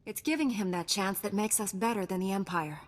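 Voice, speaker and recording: A woman's voice: mid-range voice, fairly quick, close to an everyday voice. A woman playing an earnest, steadfast Jedi knight in a video game, composed and sincere. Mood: calm resolve.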